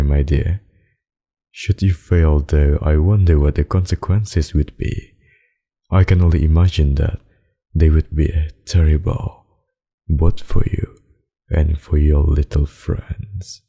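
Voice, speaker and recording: Man playing a very deep, gravelly, sadistic vampire lord. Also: mellow, heavily acted. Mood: taunting, then cold menace. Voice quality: very deep, husky, gravelly murmur. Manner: slow, formal, mocking endearments. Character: sadistic, possessive male vampire lord, silkily menacing. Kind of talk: scripted ASMR roleplay.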